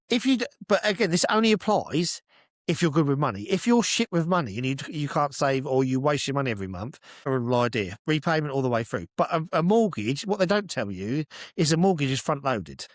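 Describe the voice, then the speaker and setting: A man's voice: mid-range voice, dry recording, studio quality. A British man co-hosting a casual podcast chat, explaining his opinions in a sweary, insistent way and answering his own questions. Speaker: podcast co-host.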